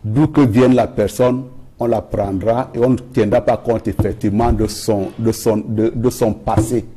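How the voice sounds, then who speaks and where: A man's voice: lowish voice, steady tone, moderate pace, dark tone, a little hiss or hum. A man from Cameroon, a guest on a political debate panel, pressing his point and repeating phrases for emphasis.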